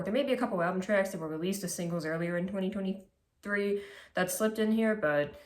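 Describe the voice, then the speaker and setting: A congested woman hosting a music ranking video, reading scripted commentary in a casual, slightly sardonic way. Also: mid-range voice. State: congested, stuffy nose. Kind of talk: scripted list commentary read aloud. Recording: echoey room.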